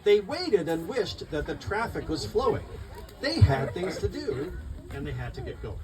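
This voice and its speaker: A man reading his picture book aloud at a live event, expressive and rhythmic in the rhymes, with informal asides to listeners. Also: a little high, normal speed, over a noisy crowd. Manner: expressive, rhythmic storytelling of rhyming verse. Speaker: children's book author reading his own book. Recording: distant mic, noisy live room.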